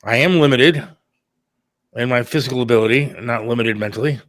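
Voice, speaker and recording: A man's voice: mid-range voice, a little fast, fairly bright tone, no room sound, studio quality. A middle-aged man talking candidly and casually on a talk show, plain-spoken and a little defensive. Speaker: radio producer and talk show host.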